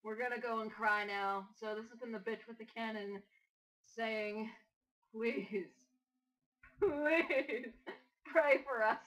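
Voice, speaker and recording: A woman hosting casual, mocking commentary, sarcastic and animated in a rambling way. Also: a little high, slow pace, long pauses, low-quality recording. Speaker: host of an amateur critique.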